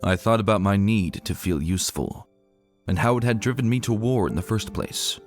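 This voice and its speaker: A man reading a scripted first-person story in an expressive, reflective manner, as a dramatic narrator. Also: fairly low pitch, moderate pace, soft background music.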